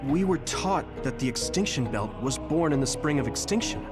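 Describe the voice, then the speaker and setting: An earnest young soldier, voiced by a man in a video game. He sounds thoughtful, with a fairly high male voice. Music plays clearly behind him. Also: lively intonation, normal speed, natural voice. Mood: earnest, pondering. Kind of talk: scripted video game voice acting.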